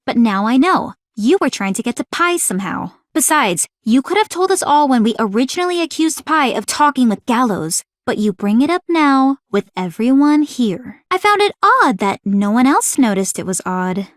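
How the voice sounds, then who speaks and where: Game role: sharp-witted, suspicious young woman strategist. High, animated delivery, formal and commanding. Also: moderate pace, few pauses, no room sound, studio quality. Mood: accusing, smug. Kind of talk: scripted video game character voice acting.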